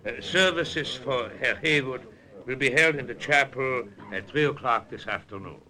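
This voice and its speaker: An actor playing an officious, menacing German doctor in old-time radio drama, insistent and falsely solicitous, with a German accent. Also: male voice, mid-range voice, normal speed, very noisy, close to an everyday voice. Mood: solemn, unctuous.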